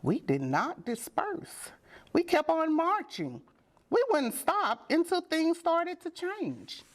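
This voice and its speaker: A woman reading a children's storybook aloud with deliberate, dramatic and expressive phrasing. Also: very high-pitched. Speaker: storybook reader.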